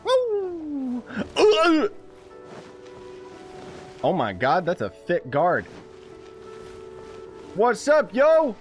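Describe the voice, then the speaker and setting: A man from Canada giving casual, excitable and sarcastic commentary while playing a video game. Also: extremely high pitch, extremely slow, pauses often, faint music behind. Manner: excitable, sarcastic, talks to chat. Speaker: gaming video creator. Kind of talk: casual let's-play commentary.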